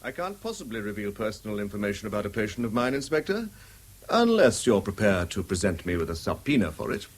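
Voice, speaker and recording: An actor playing a guarded, easily indignant country doctor in a radio drama, crisp and formal, with an English accent. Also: male voice, on the low side, big pitch swings, quick pace. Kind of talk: 1970s British radio drama acting.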